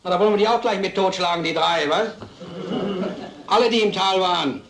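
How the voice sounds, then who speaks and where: An actor playing a calm, shrewd veteran police detective, measured, dry and authoritative, in an old crime drama. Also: male voice, high-pitched. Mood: firm. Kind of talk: mid-century German film drama acting. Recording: roomy old soundtrack, some noise.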